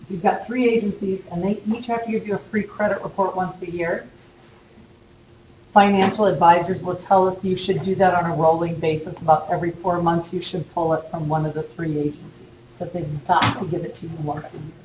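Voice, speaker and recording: A woman's voice: on the low side, fairly even intonation, fairly quick. A woman college instructor giving a casual, chatty lecture in a classroom while losing her voice. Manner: casual, chatty, uses fillers. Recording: echoey lecture room with faint hum.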